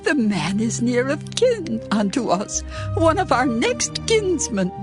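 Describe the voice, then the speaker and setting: A woman playing a caring older mother-in-law who gives earnest, measured counsel in a dramatized scripture reading. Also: a little high, sing-song, music in the background. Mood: grateful.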